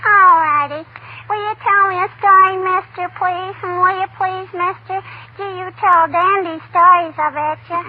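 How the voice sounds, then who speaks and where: Little girl, cheeky, naive, unhurried, old radio comedy, tinny vintage recording with faint noise. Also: very high-pitched, steady tone. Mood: coaxing, curious. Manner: coaxing, childlike, with repeated "hmm" and "I bet you".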